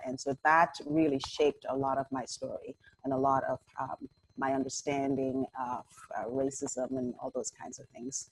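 A woman from Trinidad and Tobago, a pastor and podcast guest, talking casually in a friendly, relaxed way. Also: rumbling low, slight background noise, rough recording. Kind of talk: casual chat.

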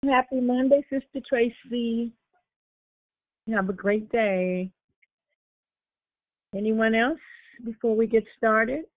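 A woman hosting a prayer conference call, greeting each caller with repeated good mornings and affectionate names. Her voice can get a little raspy. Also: fairly high pitch, slow pace, long pauses, dry recording. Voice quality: gets raspy. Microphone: telephone. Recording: tinny phone line.

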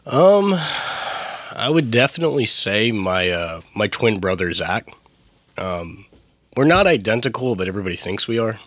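A young man in his twenties talks loosely on a podcast, with easy laughs and fillers. His low voice sits close and dry on the mic. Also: sing-song, normal speed, a bit bright, no echo. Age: 20s. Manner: easygoing, laughing, many fillers. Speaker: podcast co-host. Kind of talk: casual conversational podcast.

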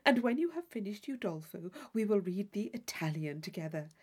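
A woman's voice: medium pitch, lively intonation, brisk, darkish tone, breathy edge. A woman voicing a gushing, theatrical young society lady in a classic novel reading, effusive, affected and intense. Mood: eager, dramatic.

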